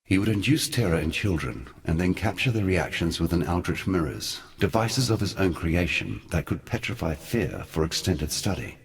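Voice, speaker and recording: An actor voicing an ancient, weary and scornful god, delivering grave, formal storytelling for a video game in a measured, theatrical way. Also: male voice, deep voice, animated. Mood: grave, brooding. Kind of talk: scripted dramatic video game narration.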